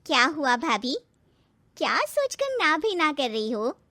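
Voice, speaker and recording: A woman voicing a brisk married sister-in-law in a cartoon story, blunt and needling in manner. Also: high voice, very animated, pushed and bright, dry recording, studio quality, heavily acted. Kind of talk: cartoon story character acting.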